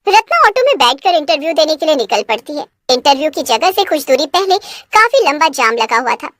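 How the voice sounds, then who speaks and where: A woman narrator with a high, lively voice tells a scripted cartoon tale. Her pitch moves freely, and the recording is dry with no room sound. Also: a little fast, no room sound. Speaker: story narrator.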